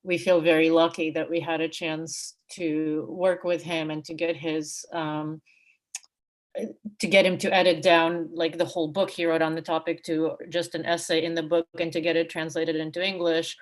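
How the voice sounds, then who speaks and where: Low-pitched woman, reflective and halting. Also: flat delivery. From Russia. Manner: halting, reflective, with many hedging asides. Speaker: book co-editor and panel speaker. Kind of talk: unscripted academic discussion.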